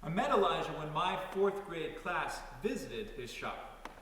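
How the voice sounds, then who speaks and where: A man's voice: fairly high pitch, sing-song, a little slow, fairly bright tone. A man reading a scripted first-person story aloud as a narrator. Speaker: reader in a dramatic reading. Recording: very echoey hall, distant mic, faint noise.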